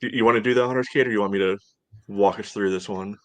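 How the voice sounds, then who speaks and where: A man hosting a soccer podcast, chatting casually through match analysis in a halting way full of filler phrases. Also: on the low side, fairly even intonation, fairly bright tone, no room sound. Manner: halting, peppered with "you know".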